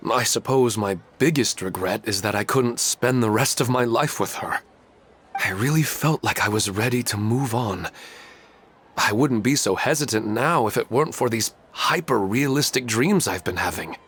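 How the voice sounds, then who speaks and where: A man's voice: on the low side, unhurried, fairly bright tone, slight background noise. An actor playing a melancholy, humble widowed father in an anime game, speaking in a hesitant, wistful way. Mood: resigned, regretful.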